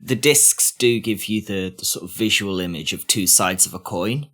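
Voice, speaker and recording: A man co-hosting a discussion podcast, arguing emphatically in halting bursts. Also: on the low side, fairly bright tone. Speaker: podcast co-host.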